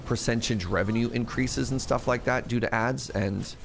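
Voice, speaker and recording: Gravelly, sniffly man chatting on stream. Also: medium pitch, fairly even intonation, normal speed, noisy background. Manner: rambling, chatty, laughs often. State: sniffly, congested. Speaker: game streamer. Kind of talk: casual live-stream chat while gaming.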